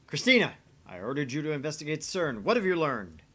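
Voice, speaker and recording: A man's voice: on the high side, sing-song, fairly quick. A man playing a theatrical, pompous young self-styled mad scientist in a visual novel, switching between grand pronouncements and narration. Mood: grandiose. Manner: grandiose declarations, quieter asides as narrator.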